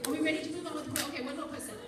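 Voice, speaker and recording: A woman's voice: high voice, steady tone, very fast. A woman teaching a class, playfully teasing her students as they guess where she is from. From Burbank, California. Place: large classroom or hall. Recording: echoey room, distant mic, chatter.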